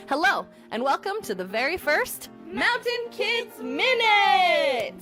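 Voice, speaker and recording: A woman's voice: extremely high pitch, very animated, slow pace, music in the background. A woman from Oregon co-hosting a segment with a playful, scripted introduction. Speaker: segment co-host.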